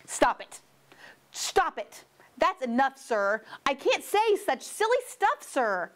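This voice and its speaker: A woman reads as a flustered male creature from a picture book. The voice is high and very animated, full of polite complaint and pleading exasperation. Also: slow pace, full character voice. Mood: exasperated.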